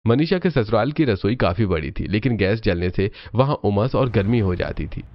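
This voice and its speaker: A man's voice: on the low side, lively intonation, moderate pace, no room sound, muffled recording. A man narrating a scripted cartoon family story as the storyteller.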